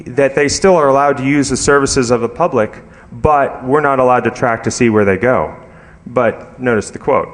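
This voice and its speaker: A man speaking as a conference presenter, giving an informal technical talk in a conversational, halting way with many ums and asides. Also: medium pitch. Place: large conference hall, addressing an audience. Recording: reverberant hall recording.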